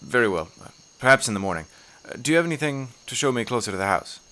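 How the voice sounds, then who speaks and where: A man playing an inquisitive, educated professor in an audio horror play, speaking politely, formally and a little haltingly. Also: mid-range voice, lively intonation, sharp tone. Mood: curious, polite. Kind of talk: audio horror drama acting. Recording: insect-like ambient noise behind dialogue.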